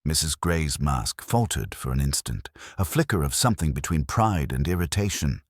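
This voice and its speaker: A man's voice: extremely low pitch, very animated, moderate pace, no echo, studio quality. A man reading scripted mystery narration as a dramatic storyteller.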